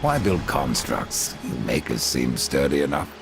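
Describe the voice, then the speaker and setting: An actor playing a grim, merciless horseman of death in a video game, gravelly, terse and sardonic. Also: rumbling low, very animated, unhurried, exaggerated voice. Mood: dry, cold. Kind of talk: video game cutscene acting. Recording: music behind the voice. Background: game score.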